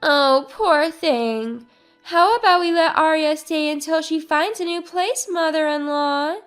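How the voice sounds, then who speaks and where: A woman's voice: high voice, unhurried, fairly bright tone, faint music behind, pitched above the performer's own voice. A woman voicing a condescending sister-in-law who speaks in a sugary, patronizing way. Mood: falsely sweet. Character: condescending young sister-in-law feigning pity.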